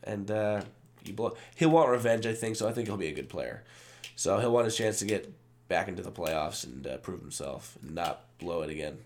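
A man's voice: deep voice, expressive, normal speed, a little mellow, slight background noise. A man in his late twenties co-hosting a podcast, chatting casually about sports and making jokes. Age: 20s. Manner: joking, rambling. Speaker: podcast co-host.

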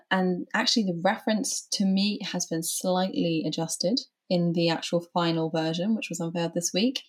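A woman co-hosting a weekly news podcast, lightly teasing and quick to laugh. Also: fairly low pitch, poor audio. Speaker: podcast co-host.

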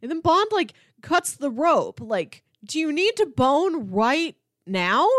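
A woman's voice: sits high, big pitch swings, takes it slowly, fairly bright tone, dry recording, studio quality. A woman co-hosting a podcast, chatting casually about movies in an informal way, often dropping in "like". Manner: informal, peppers speech with "like". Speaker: podcast co-host.

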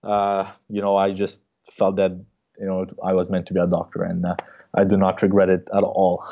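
Low mellow man with halting speech. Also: monotone. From Egypt. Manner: halting, many fillers. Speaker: guest, cardiologist and startup co-founder. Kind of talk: casual interview answers. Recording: dull lo-fi sound.

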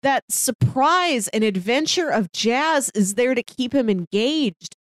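A woman's voice: on the high side, lively intonation, takes it slowly, no room sound. A woman co-hosting a podcast, casually discussing a movie in a chatty way and laughing easily as she talks. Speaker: podcast co-host.

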